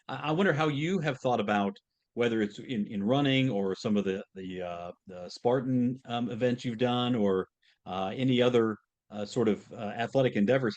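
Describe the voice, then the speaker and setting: A man's voice: fairly low pitch, a little mellow. Hesitant man hosting a podcast. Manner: hesitant, many fillers and restarts, laughs easily. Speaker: podcast host. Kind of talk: conversational podcast interview.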